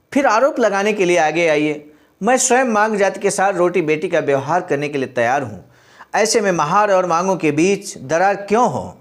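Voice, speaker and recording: A man with a distinctly high-pitched voice reads and comments as a speaker would. His delivery is earnest and exhortative, moving between recited text and explanation aimed straight at the listener. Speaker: speaker reading and commenting on a historical speech. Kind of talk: read-aloud speech with earnest explanatory commentary.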